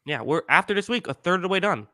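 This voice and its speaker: A man in his twenties hosting a podcast in casual chat, rambling with many fillers and restarts, with a higher-pitched voice. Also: animated, fast talker, bright, forceful tone, no room sound, clean studio sound. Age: 20s. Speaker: podcast host.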